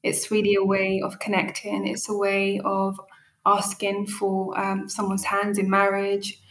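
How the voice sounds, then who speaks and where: Woman, thirties, founder guest, earnest storyteller, narrow pitch, echoey room. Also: monotone, measured pace, a bit bright. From Eritrea and Ethiopia. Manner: earnest storytelling, frequent fillers. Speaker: coffee brand founder, guest. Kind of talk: interview answers.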